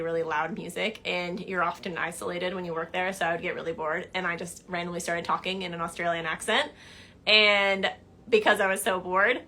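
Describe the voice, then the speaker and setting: A young woman answering viewer questions in a casual livestream chat from her living room couch, animated and chatty. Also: fairly low pitch, a little hiss or hum. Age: young adult. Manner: chatty and animated, gestures a lot, laughs while telling stories. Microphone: phone camera at arm's length.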